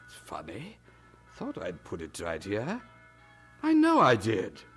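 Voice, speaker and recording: A man's voice: on the low side, wildly swooping pitch, slow pace, fairly bright tone, breathy edge, soft background music, heavily acted. An actor playing a genial, talkative, worldly man in an audio drama, with a chatty, stammering manner. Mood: flustered. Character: genial, talkative, blustering man, George's worldly host Tom.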